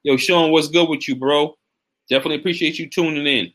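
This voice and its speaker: A man in his forties hosting a casual live stream as a fitness coach, giving shout-outs and advice with lots of repeated words. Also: a little high, steady tone, quick pace. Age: 40s.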